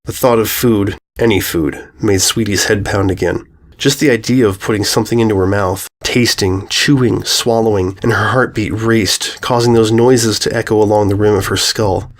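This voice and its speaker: A man's voice: fairly low pitch, fairly even intonation, normal speed. Male storybook narrator.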